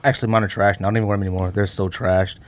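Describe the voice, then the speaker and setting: A man with an American accent and a lowish voice hosts a casual podcast. He speaks conversationally, with plenty of slang, in relaxed back-and-forth banter. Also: very fast. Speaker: podcast host. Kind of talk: casual banter and questioning about sneakers.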